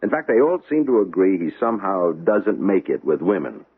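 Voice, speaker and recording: American male police lieutenant, earnest and impatient, animated delivery, thin vintage radio drama sound. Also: deep voice, fairly quick, husky, narrow, tinny audio, exaggerated voice. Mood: earnest, weary. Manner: blunt, plain-spoken. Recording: thin band-limited old radio recording.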